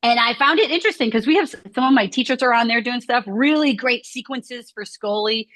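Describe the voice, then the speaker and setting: A woman's voice: on the high side, a little fast, a bit bright, dry recording. A woman who runs a scoliosis movement studio, chatting casually and rambling with lots of "like" fillers. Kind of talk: casual video chat conversation.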